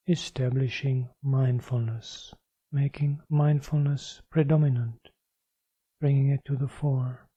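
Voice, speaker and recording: Male meditation guide, deeply mellow and relaxed tone, deliberate spaced phrasing, dry recording. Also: husky, no echo, muffled recording. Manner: measured, deliberate phrasing with pauses. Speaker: meditation teacher.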